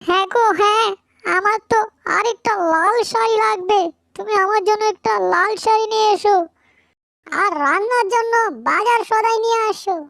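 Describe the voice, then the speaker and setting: Tiny squeaky fox wife for a cartoon, super high n creaky, naggy wheedling. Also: female voice, normal speed, a bit bright, no echo, studio quality, exaggerated voice. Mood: eager wheedling. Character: fox's young wife, chirpy and demanding cartoon animal. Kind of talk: cartoon dialogue.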